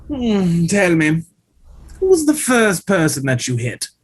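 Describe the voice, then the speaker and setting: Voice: medium pitch, very animated, slow pace, husky, exaggerated voice. An actor reading a campy, arch young male dancer in a table read, with a languid, theatrical delivery.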